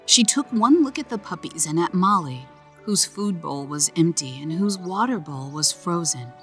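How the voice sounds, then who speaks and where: A woman reading a children's story aloud in a slow, gentle storytelling manner. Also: low voice. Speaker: narrator. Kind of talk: children's read-aloud narration.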